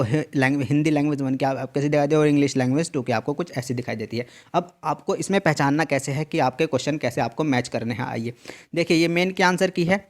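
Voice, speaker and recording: A man explaining an exam answer key in a tutorial, repeating each instruction and checking in often. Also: on the high side. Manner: repetitive, emphatic instructions with frequent "theek hai" check-ins. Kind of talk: screen-share tutorial walkthrough.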